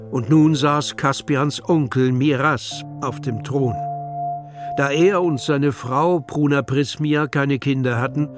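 Male narrator for a children's audio drama, mid-pitched, unhurried scripted storytelling over music. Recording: music under the voice.

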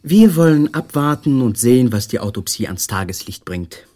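Actor as husky, animated probing police inspector. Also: male voice, medium pitch, normal speed, lightly acted. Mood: businesslike probing. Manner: formal, clipped, insistent questioning. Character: probing, formally polite male police inspector. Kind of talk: German crime radio play acting.

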